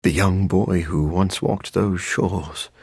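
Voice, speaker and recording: An actor playing a brooding, scholarly vampire in a video game, a man with a husky, gravelly voice and a dry, formal manner. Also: deep voice, fairly even intonation, takes it slowly, a little mellow, no echo, full character voice. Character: brooding, scholarly living vampire scientist, grim and awkward, adult man. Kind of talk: video game character acting.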